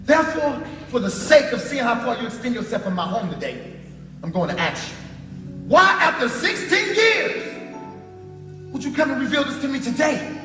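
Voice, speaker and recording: A man's voice: very high-pitched, very animated, bright, forceful tone, full character voice. An actor playing a proud, bitter, self-made businessman in a stage play, scornful and emphatic as he confronts his ex-girlfriend. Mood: incredulous, outraged. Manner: theatrical, scornful, emphatic, drawn-out delivery. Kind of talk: gospel stage play acting. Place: stage in a large hall. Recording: echoey theatre hall with music underneath.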